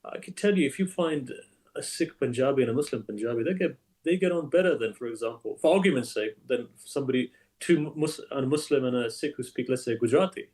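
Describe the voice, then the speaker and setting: A man, an imam, speaking as a guest in a conversational interview, emphatic and animated, often repeating his words as he builds a point. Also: mid-range voice, quick pace.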